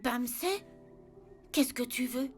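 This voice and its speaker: An actress playing a timid, remorseful little ex-thief in an animated film, hesitant and apologetic. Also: female voice, high voice, takes it slowly, faint music behind. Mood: sheepish, sorry. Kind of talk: dubbed animated film acting.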